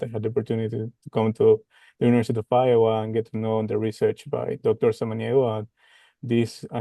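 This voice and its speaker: Gravelly, dark-voiced man from Ecuador. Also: fairly low pitch, no echo. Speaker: medical doctor, researcher. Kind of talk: interview answers.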